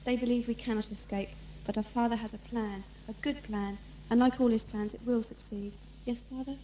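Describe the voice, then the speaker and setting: Assured, haughty daughter for audio drama, female actor, theatrical, highish mellow tone, old tape recording. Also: steady tone, normal speed, close to an everyday voice. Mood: scornful, confident. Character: haughty eldest daughter, devoted to her father. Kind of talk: amateur audio drama acting. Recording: echoey room, faint hum, old cassette.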